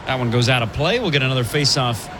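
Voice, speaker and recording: A man calling live women's college hockey play-by-play, brisk and chatty, laughing easily between plays. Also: mid-range voice, animated, background noise. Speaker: play-by-play commentator.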